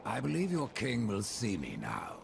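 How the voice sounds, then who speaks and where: An actor voicing a grim, blunt warrior horseman of death in a video game, terse and sardonic with a gravelly voice. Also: fairly low pitch, wildly swooping pitch, moderate pace, heavy background noise, heavily acted. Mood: defiant, commanding. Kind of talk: video game cutscene acting.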